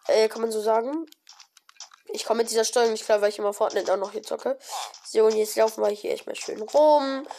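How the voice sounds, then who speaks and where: A woman talks through her gameplay in a relaxed, chatty way, breaking into excited exclamations and stumbling over fillers. She has a cold, and her voice sits a bit high. A faint hiss runs under the recording. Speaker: gaming video creator. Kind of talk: casual let's-play commentary.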